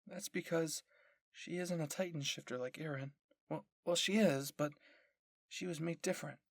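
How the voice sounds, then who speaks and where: A man playing an earnest, hesitant young woman in an audio story, explaining haltingly. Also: on the high side, steady tone, no room sound, clean studio sound.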